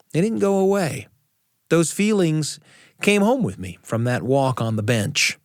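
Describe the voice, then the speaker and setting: A man hosting a radio show, telling stories and chatting with deliberate phrasing and dramatic pauses. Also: mid-range voice, no echo, studio quality. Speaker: radio show host and storyteller. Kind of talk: reflective personal storytelling and hosted studio conversation. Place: radio studio control room.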